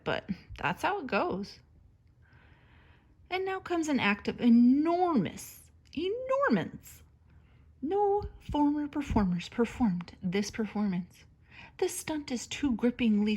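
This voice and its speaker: A woman reading a children's story aloud like a teacher, with expressive, theatrical emphasis and a breathy edge to her voice. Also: high-pitched. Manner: dramatic, theatrical emphasis on words.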